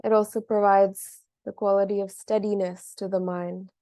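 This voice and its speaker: A woman leading a guided meditation as a teacher, speaking gently and in a measured way with long pauses. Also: medium pitch, level tone, no echo.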